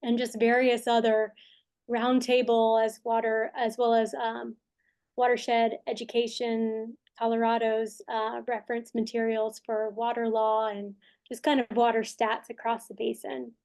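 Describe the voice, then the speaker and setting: A woman with a fairly high voice walks through project progress in a meeting, casual and loose, with plenty of fillers as she lists what her team has done. She talks over a video call. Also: flat delivery, unhurried, darkish tone. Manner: casual, many fillers, listing items. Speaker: project consultant presenting progress. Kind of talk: meeting presentation.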